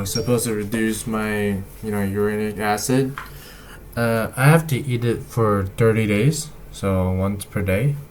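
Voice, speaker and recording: Young male vlogger, fairly low voice, halting casual delivery, faint hiss behind. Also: a little mellow. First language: not Chinese. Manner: halting, many fillers and restarts. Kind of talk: casual health update to camera.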